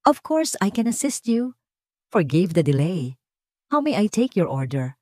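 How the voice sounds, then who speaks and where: Woman doing a poised young waitress in an audio story, calm n polite but pointed, very careful words, dry sound. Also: mid-range voice, a little slow, no echo. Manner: careful, emphatic, precise diction.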